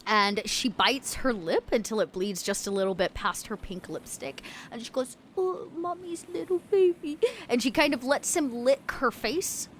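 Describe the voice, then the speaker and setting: A woman's voice: on the high side, animated, moderate pace, hardly any pauses, a bit bright, slight background noise. A woman narrating her character's actions casually as a player in a tabletop game.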